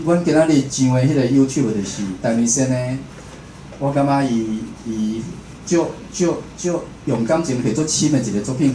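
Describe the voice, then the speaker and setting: A man's voice: mid-range voice, mellow. A man with a Taiwanese accent giving an informal talk as a radio host, conversational, repeating phrases and putting questions to his listeners. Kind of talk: informal public lecture. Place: indoor room, speaking to an audience. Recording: roomy echo, faint background noise.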